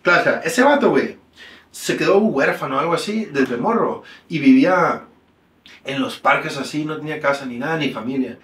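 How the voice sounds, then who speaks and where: Mexican guest in his thirties, laid-back slangy talker, a little high-pitched, echoey room. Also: moderate pace, distant mic. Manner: relaxed slangy storytelling, animated when telling stories. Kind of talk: casual storytelling interview. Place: small indoor room.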